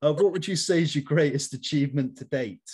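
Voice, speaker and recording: A middle-aged man hosting a conversational interview in a measured, polite, slightly formal manner. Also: a little high, a little mellow, breathy edge. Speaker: interview host.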